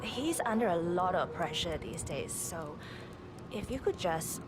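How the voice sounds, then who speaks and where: A woman's voice: fairly low pitch, animated, a little slow. A woman voicing an anxious, devoted lab assistant who speaks cautiously and hesitantly in a dramatic video game. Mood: earnest, anxious. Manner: hesitant, pleading. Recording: clear background noise.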